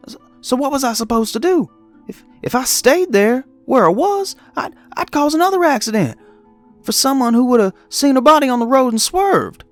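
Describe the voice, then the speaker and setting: Guy doing a shaken truck driver confessing, stutters and repeats himself, voice all over the place, music behind. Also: very high voice. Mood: nervous, bewildered. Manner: stammering, repeating words, pleading. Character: distraught guilt-ridden male trucker confessing a fatal accident. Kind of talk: dramatic horror story monologue.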